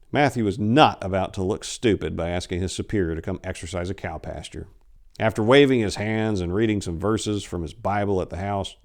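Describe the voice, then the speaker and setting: A man in his forties giving a theatrical, expressive dramatic reading of horror fiction. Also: lowish voice. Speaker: storyteller. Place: small indoor room lined with bookshelves. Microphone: lapel.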